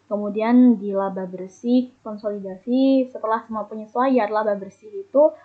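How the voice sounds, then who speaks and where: A woman's voice: mid-range voice, fairly even intonation, moderate pace. Young woman on a phone-like recording. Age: young adult. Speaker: student presenter. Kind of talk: explanatory slide presentation. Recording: muffled, phone-like narrow band.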